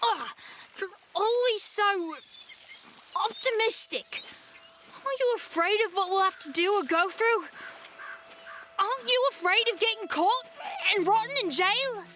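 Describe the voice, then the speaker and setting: A woman's voice: very high-pitched, a little slow, long pauses, bright, forceful tone, slight background noise, full character voice. A woman playing an anxious, cautious child runaway in an audio drama, emphatic and easily exasperated.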